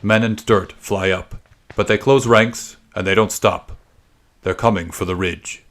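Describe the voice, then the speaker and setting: A man narrating a story from a script as a podcast reader, in a measured, suspenseful, dramatic style. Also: lowish voice, steady tone, a bit bright.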